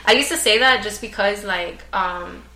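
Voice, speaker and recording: A woman's voice: mid-range voice, normal speed, sharp tone, echoey room, a little hiss or hum, low-quality recording. A young woman who grew up speaking Spanish, chatting casually as a guest on a podcast, full of fillers and laughs. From United States. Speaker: guest artist.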